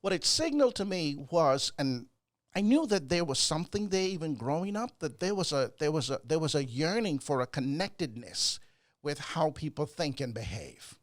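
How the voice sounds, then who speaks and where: Older man from the Bahamas, slightly high voice, measured and gracious guest psychologist, dry studio sound. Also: big pitch swings, dry recording. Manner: measured, gracious, repeats words when building a point. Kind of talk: conversational storytelling.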